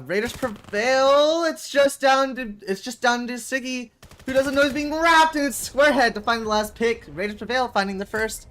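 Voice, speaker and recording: Eighteen-year-old male esports caster. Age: young adult. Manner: rambling and filler-heavy in chat, bursts loud and high on plays. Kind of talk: solo play-by-play game commentary with viewer chat. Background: game music.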